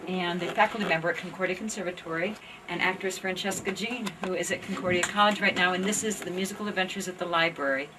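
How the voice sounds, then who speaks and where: A woman's voice: on the low side, steady tone, fast talker. A woman hosting a live event, speaking informally to the people gathered and to the performers. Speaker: event host. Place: library event room, from a distance. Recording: distant recording, very noisy room ambience.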